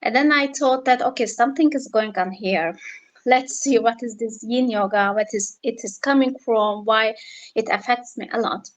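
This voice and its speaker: Guest: woman, slightly high voice, hesitant, lots of fillers. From Turkey. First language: Turkish. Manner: halting, many fillers and restarts. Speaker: yoga teacher guest. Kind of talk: casual interview answers.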